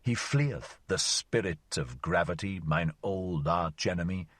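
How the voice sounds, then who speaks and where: A man reading the lines of a calm, proud solitary sage in an audiobook, measured and reflective in old-fashioned phrasing. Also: low-pitched, no room sound, clean studio sound, pitched below the performer's own voice, heavily acted.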